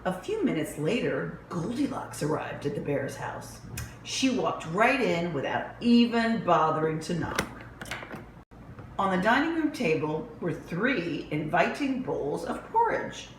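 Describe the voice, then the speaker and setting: A woman reads a children's story slowly, with big swings of pitch. Her phrasing is dramatic and emphatic, and the room echoes. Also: very animated. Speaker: children's librarian reading stories. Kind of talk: expressive picture-book read-aloud for young children. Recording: roomy echo with faint background noise.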